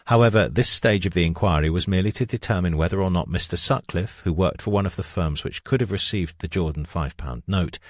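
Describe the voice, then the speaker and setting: A man reading a nonfiction audiobook aloud in a measured, even narration style. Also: low-pitched, dry recording, clean studio sound.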